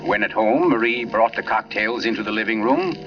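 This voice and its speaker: An actor playing a grave novelist who narrates a suspense tale in a measured, dramatic 1940s radio style, on a vintage recording. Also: male voice, lowish voice, fairly even intonation, recorded from a distance, muffled recording, natural voice. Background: dramatic score music.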